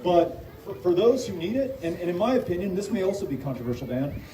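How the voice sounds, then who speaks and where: A man answering audience questions at a town hall, speaking off the cuff in an earnest, halting way with repeated words. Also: high-pitched, big pitch swings. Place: park pavilion, community meeting. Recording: roomy, distant live-stream sound, loud background noise.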